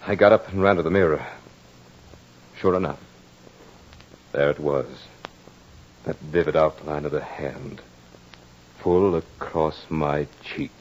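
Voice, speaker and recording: An actor playing a tormented, devoted husband in an old-time radio horror drama, with melodramatic, theatrical delivery. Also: male voice, extremely low pitch, fairly even intonation, slow, long pauses, exaggerated voice. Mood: grim, shaken. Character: tormented, devoted husband haunted by a vengeful phantom. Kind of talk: old-time radio horror acting, first-person narration. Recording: dull vintage radio recording with music.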